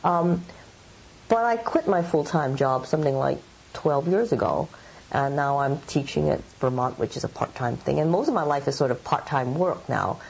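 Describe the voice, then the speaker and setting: A woman's voice: deep voice, expressive, moderate pace, gravelly, a little mellow, slightly rough, poor audio. A middle-aged woman writer from Hong Kong, answering interview questions in a thoughtful, meandering way with many fillers. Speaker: writer and editor.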